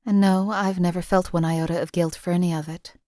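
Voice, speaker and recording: A woman voicing a cold-blooded female assassin companion in a game, flat, clipped and detached. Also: lowish voice, fairly quick, no echo, studio quality, lightly acted.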